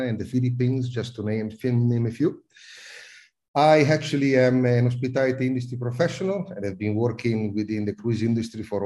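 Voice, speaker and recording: A man's voice: lowish voice, mellow. A middle-aged man with an Italian accent, a guest in a podcast interview who often hesitates and repeats words. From Italy. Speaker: guest, cruise industry advisor.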